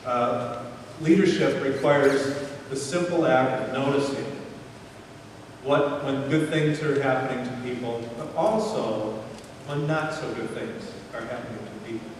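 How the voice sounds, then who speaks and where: A man's voice: mid-range voice, unhurried. A man giving a motivational talk to young students, often tossing questions at them and checking in with a "right?". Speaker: speaker addressing students. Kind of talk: motivational talk to eighth graders. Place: large echoey room with an audience. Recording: echoey hall, far from mic, faint noise.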